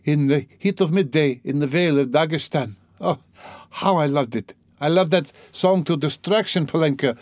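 A man's voice: on the high side, normal speed, no room sound, voice pushed up, lightly acted. A man voicing a dying, delirious and bitter sick mother in an audiobook, faint and gasping, with a fretful, rambling, dramatic delivery. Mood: wistful delirium.

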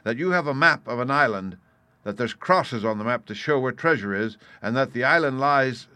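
A man voicing a blunt, stern ship's captain in an audiobook, clipped and forceful in his plain speaking. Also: mid-range voice, lively intonation, moderate pace, clear, resonant tone, dry recording, pitched above the performer's own voice. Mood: firm, insistent. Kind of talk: audiobook character voice.

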